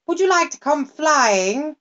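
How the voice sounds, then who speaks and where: A woman's voice: high voice, big pitch swings, unhurried, fairly bright tone, pitched above the performer's own voice, full character voice. A woman voicing a mischievous male night creature who talks in a breezy, teasing, careless way. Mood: playful. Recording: dull lo-fi recording.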